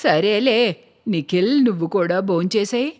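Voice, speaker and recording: A woman's voice: mid-range voice, moderate pace, a little mellow, voice pushed down, lightly acted. A woman voicing a stern, bossy older mother-in-law in a cartoon story. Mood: commanding. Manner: scolding, commanding.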